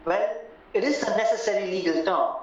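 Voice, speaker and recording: A man acting a courteous, formal solicitor in a radio play, deliberate and a little hesitant. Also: high voice, close to an everyday voice. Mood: calm, reassuring. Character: courteous, measured English male solicitor, formal and reassuring. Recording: roomy, distant, muffled, music underneath.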